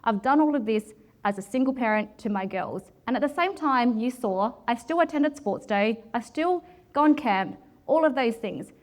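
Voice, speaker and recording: A woman in her forties addresses a room in a loud, direct manner. Her voice sits a little high, and she presses her points with passion. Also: lively intonation, moderate pace. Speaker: project manager giving a talk. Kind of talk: presentation talk with Q and A.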